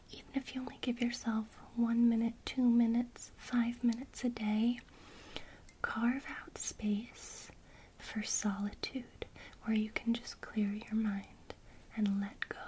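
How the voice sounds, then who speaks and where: Whispering woman, soft and unhurried. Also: mid-range voice, slight background noise, poor audio. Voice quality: soft unvoiced whisper throughout. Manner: gentle, unhurried, addresses the listener directly. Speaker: ASMR creator teaching witchcraft. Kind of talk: whispered ASMR lesson.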